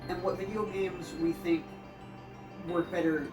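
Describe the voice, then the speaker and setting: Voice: medium pitch, level tone, a little slow, over music. A podcast co-host introducing a topic in a hesitant way, full of "uh"s and repeated words. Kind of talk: casual podcast chat. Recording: echoey room, far from the mic.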